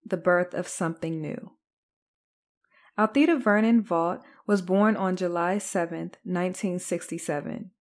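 A woman's voice: lowish voice, a little slow. A woman podcast host delivering scripted true crime narration.

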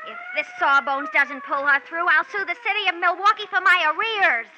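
Nervy mercenary dame: very high voice, indignant and pompous, 1940s radio drama, muffled vintage recording. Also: female voice, fairly quick, very noisy. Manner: indignant, melodramatic, uses pompous wording. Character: jittery mercenary female employee, brassy and indignant.

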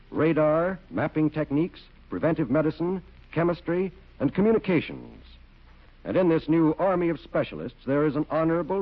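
American male announcer, 1940s public-service read, somewhat high voice, muffled vintage broadcast.

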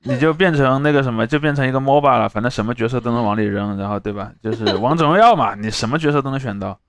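A man's voice: mid-range voice, fast talker, dry recording. A man hosting a podcast, explaining his opinions in casual conversation. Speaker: podcast host. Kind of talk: casual panel chat.

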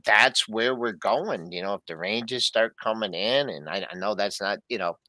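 A man hosting a pre-market trading show, briskly running through price quotes and chatting with his co-hosts. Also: on the low side, pushed and bright, dry recording. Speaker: show host. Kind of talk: market news rundown and co-host chat.

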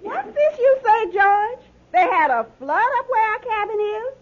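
A woman's voice: very high-pitched, very animated, a little slow, exaggerated voice. An actress in an old radio comedy playing a practical, no-nonsense wife who scolds and questions her husband. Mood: alarmed questioning. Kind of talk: 1940s-50s radio comedy acting. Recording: muffled old radio, studio audience.

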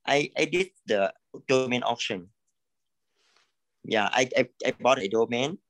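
A man from Thailand, a creative consultant, speaking in a halting way, repeating words and saying "you know" often. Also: mid-range voice, a bit bright, no room sound. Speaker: coaching client, creative consultant. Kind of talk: live coaching session.

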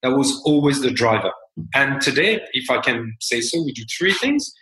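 A man in his forties from France with a French accent, talking as a founder guest in an interview in a measured, reflective way. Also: mid-range voice, sing-song, pushed and bright, echoey room, low-quality recording. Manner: measured, reflective, many fillers and restarts. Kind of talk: interview conversation.

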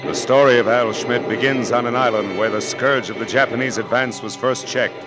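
A man's voice: mid-range voice, a little fast. A man narrating a 1940s radio drama in a solemn, dramatic manner. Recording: 1940s radio broadcast with music.

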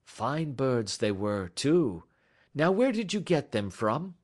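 A man voicing a shrewd, calm detective who speaks in a measured, offhand way in an audiobook story. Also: medium pitch, pitched above the performer's own voice. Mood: cool. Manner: calm, measured, careless-seeming. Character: cool, shrewd male detective, middle-aged.

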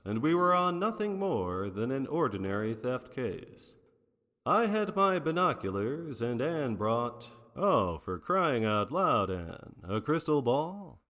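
A man's voice: mid-range voice, a bit bright. An actor playing a wry 1940s private detective, narrating his cases in a hard-boiled, theatrical radio drama style. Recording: long reverb, music underneath.

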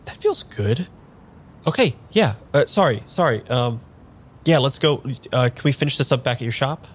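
An actor playing a wistful, apologetic man without his memory in an improvised audio drama, speaking haltingly over music. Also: medium pitch, lively intonation, husky. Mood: nervous, hesitant. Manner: halting, repeats himself, hesitant. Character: uneasy amnesiac man, apologetic and wistful, narrating his search. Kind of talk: improvised audio drama, first-person narration and dialogue.

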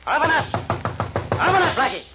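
An actor playing a gruff, badgering police inspector in a 1940s radio crime drama, blustering and accusatory, on a muffled old recording. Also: male voice, very high voice, big pitch swings, fairly quick, heavy background noise. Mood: demanding, suspicious.

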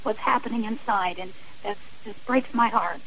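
A woman's voice: a little high, normal speed, a bit bright, rough recording. A woman speaking as an author and guest on a radio interview, earnest and emphatic, often repeating phrases for stress.

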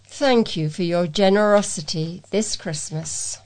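A woman's voice: lowish voice, measured pace, a little mellow. Woman reading local news. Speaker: volunteer newsreader and presenter for a talking newspaper. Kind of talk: read-aloud local news.

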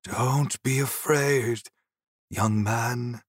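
A man voicing a frail, gentle old farmer's ghost, telling his tale slowly and deliberately in a storybook narration. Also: medium pitch, fairly even intonation, slightly rough, no echo, clean studio sound, voice pushed up. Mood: reassuring. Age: older.

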